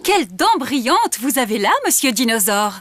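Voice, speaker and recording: A woman voicing a brisk, helpful rabbit dental assistant in a preschool cartoon. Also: fairly high pitch, big pitch swings, heavy background noise. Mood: attentive.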